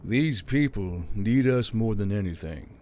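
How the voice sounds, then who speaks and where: A man's voice: lowish voice, expressive, measured pace, mellow, slight background noise. Weary, stern militia leader acted by a man. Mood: regretful, resolute. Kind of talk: audiobook drama acting.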